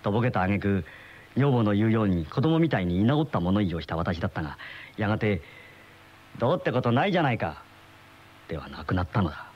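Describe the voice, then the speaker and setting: An actor playing an uneasy middle-aged husband in a radio mystery, with brooding, dramatic storytelling. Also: male voice, lowish voice, big pitch swings, fast talker. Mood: brooding, dreamy. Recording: muffled old radio drama recording, music behind.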